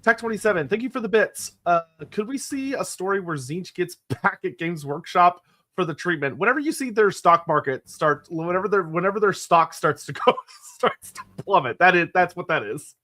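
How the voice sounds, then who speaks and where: A man's voice: high voice, animated, moderate pace, bright, forceful tone. A man co-hosting in casual chat and reading out viewer comments in a wry, jokey manner. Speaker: co-host.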